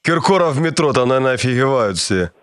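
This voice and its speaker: A man's voice: medium pitch, expressive, fast, a crowd in the background. A man running a prank phone call in character, hesitant and full of fillers and restarts. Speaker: radio prank caller.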